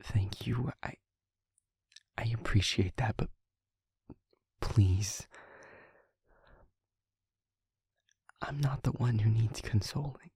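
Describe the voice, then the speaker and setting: A male actor plays a self-doubting, guilt-ridden young man in an intimate audio drama. His husky voice is creaky and breathy, and he speaks slowly and earnestly, stumbling over words between heavy pauses. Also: on the low side, a little mellow, no echo, clean studio sound, exaggerated voice. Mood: soft, pleading. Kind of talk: intimate audio roleplay drama, emotional monologue.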